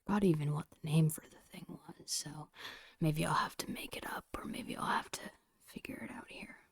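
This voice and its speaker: A woman's voice: low-pitched, steady tone, normal speed, no room sound, studio quality. A woman whispering in an ASMR style, casually thinking aloud in short phrases as she narrates her own digital painting. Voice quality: breathy whisper.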